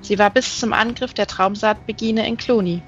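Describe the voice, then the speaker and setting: A woman's voice: medium pitch, fairly even intonation, moderate pace, faint music behind, lightly acted. A woman reading the part of a defensive grown daughter in a storybook, exasperated and insistent. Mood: indignant. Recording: slightly dull recording.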